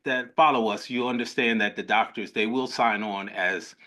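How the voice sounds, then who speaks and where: A man's voice: lowish voice, normal speed, sharp tone. An older man hosting a medical webinar, talking in an effusive, rambling way with many restarts. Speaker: host, physician.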